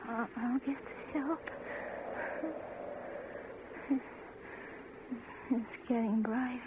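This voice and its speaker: A frantic young woman in a vintage radio horror play, acted by a woman. Her voice is high and desperate, pleading in broken, repeated phrases. The old recording carries clear noise. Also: steady tone, a little slow, phone-quality sound, lightly acted. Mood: dazed, desperate. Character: terrified, hysterical young woman desperate to save her friend. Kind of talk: 1940s radio horror acting.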